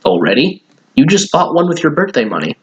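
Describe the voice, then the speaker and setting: A man's voice: a little high, very animated, brisk, highs cut off. Man reading as a kindly, puzzled old grandfather. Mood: surprised.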